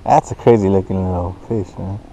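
A man's voice: deep voice, a little fast, mellow, a little hiss or hum, low-quality recording. Joking man fishing on a lake. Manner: joking, teasing, talks to the fish. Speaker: angler filming from a boat. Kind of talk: casual fishing banter mixing English and Spanish. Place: open boat on a lake, outdoors. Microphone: head-mounted action camera.

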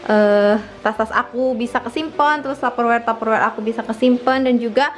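Young female vlogger, higher-pitched voice, informal home-tour chat with music underneath. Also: fairly even intonation, a little fast. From Indonesia. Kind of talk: casual chat to viewers. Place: her own small house, front yard then living room. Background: background music.

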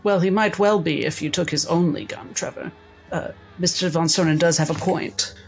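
Woman playing a shrewd, low-voiced lady doctor. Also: moderate pace, gravelly, faint music behind. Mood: shrewd, composed.

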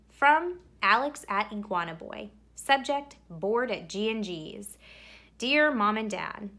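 Very animated woman reading a picture book. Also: medium pitch. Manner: animated, theatrical emphasis, drawn-out words. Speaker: read-aloud storyteller. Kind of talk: expressive children's picture book read-aloud.

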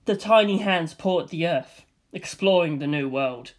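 A man reads a comedy film script aloud, stage directions and all. His delivery is dry and deadpan. His voice sits a little high for a man. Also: normal speed. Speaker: script reader.

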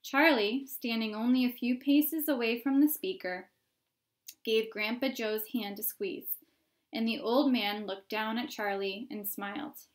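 A woman's voice: a little high, unhurried. A woman in her thirties reading a children's book aloud as narrator. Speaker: reader. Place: indoor room at home by a window.

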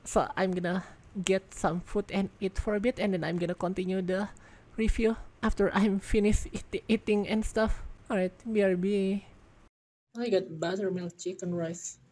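A woman giving casual gameplay commentary as a reviewer, excitable and thinking out loud, congested and losing her voice. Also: medium pitch, normal speed. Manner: excitable, exclaims in surprise, thinks aloud. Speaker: game video reviewer.

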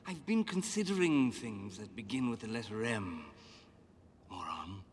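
A man's voice: lowish voice, animated, a little slow, a little hiss or hum. An actor playing an eccentric, brooding madman in a fantasy film, musing in an off-kilter way. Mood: musing, defiant. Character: eccentric, brooding, mad hatter.

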